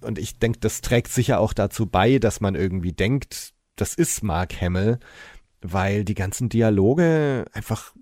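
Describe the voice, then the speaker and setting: A man's voice: lowish voice, lively intonation, unhurried, mellow, no echo, clean studio sound. A man speaking as a podcast guest in casual discussion, reflective and a little tentative.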